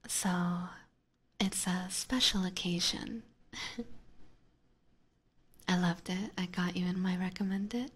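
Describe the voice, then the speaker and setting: Breathy, whispering woman, slow and soft. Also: on the low side, steady tone. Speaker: ASMR streamer and host. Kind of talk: ASMR, casual chat with live viewers. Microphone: close binaural ASMR microphone.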